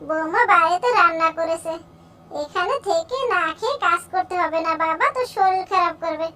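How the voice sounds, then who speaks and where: A woman as a very high-voiced, fussing village mother. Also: moderate pace. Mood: coaxing, caring. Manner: coaxing, fussing. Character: doting, worried, protective village mother of grown farmer. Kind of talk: amateur cartoon voice acting.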